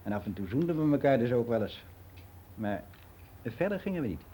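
Actor as mellow older man reminiscing, faint hiss. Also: mid-range voice, sing-song, brisk, close to an everyday voice. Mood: candid, reminiscing. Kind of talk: radio play monologue as a personal testimony.

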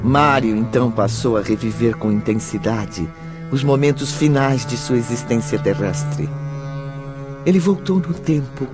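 A man's voice: mid-range voice, animated, a little slow, close to an everyday voice. A man acting as a solemn storyteller, giving dramatic scripted narration for a radio drama. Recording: loud orchestral music behind the voice.

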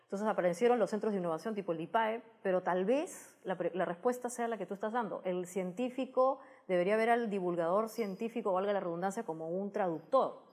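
A woman's voice: mid-range voice, brisk, hardly any pauses, a little mellow. A woman with a Peruvian Spanish accent giving explanatory, conversational interview answers, often ending phrases with a tag question. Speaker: interview guest, science communicator.

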